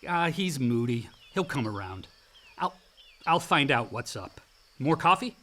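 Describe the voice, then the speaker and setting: An actor playing an outdoorsy, slightly self-doubting grown son with a casual, effusive manner in a naturalistic radio drama. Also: male voice, mid-range voice, very animated, a little slow, sharp tone, exaggerated voice. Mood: upbeat, casual. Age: young adult.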